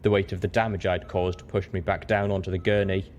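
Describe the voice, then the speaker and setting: A man's voice: deep voice, level tone, a little fast, darkish tone, slight background noise. A man reading scripted first-person fiction as the narrator of an audio story.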